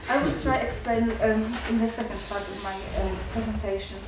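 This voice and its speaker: A woman in her twenties with a Polish accent, a nervous student giving halting, unscripted remarks at a seminar. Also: mid-range voice, steady tone. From Poland. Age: 20s. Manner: halting, repeats and breaks off words. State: nervous, stressed. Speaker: university student asking from the floor. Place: university seminar room. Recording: very echoey hall, distant, faint noise.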